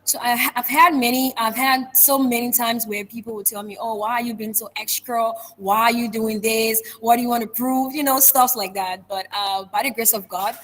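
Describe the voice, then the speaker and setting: A young woman with a Liberian accent, a public speaker answering interview questions earnestly in measured, structured points. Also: a little high, steady tone, a bit bright, poor audio. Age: young adult.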